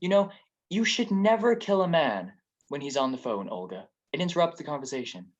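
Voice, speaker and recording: A man's voice: a little high, big pitch swings, fairly quick, muffled recording. A man playing a shrewd, wry married private detective in a 1940s-style radio drama. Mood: wry, accusing. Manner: wry, teasing.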